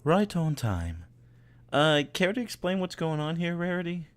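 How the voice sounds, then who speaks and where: A man's voice: on the high side, very animated, measured pace, voice pushed up. A man voicing an earnest, curious young female cartoon pony with an eager, questioning manner. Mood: concerned.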